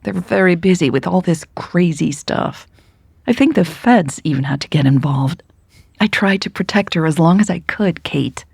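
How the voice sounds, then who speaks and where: Woman voicing weary woman, dry, sighing, pressured, low gravelly voice, audio drama. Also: animated, normal speed, darkish tone, no room sound, full character voice. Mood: weary, apologetic. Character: Maya, weary dry-witted woman, pressured but loyal.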